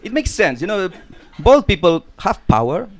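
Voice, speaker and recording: A man from Spain with a Spanish accent, finishing a stand-up set and chatting with the hosts in a relaxed, self-deprecating way. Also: high voice, moderate pace, a crowd in the background. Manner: relaxed, self-deprecating, lots of "you know". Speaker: stand-up comic. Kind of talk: stand-up and chat after the set.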